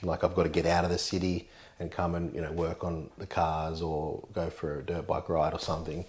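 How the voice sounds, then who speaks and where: A man in his thirties with a very low voice and an Australian accent. He talks casually and easily, like a guest in a relaxed interview. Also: a little fast, a little hiss or hum. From Australia, born in the Blue Mountains, grew up on the mid-north coast of New South Wales. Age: 30s. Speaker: interview guest, insurance adviser.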